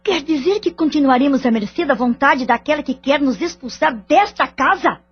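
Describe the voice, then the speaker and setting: A woman's voice: sits high, animated, normal speed, highs cut off. An actress with a Brazilian accent playing a wronged, firm wife and mother in an audio drama. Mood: bitter, sarcastic.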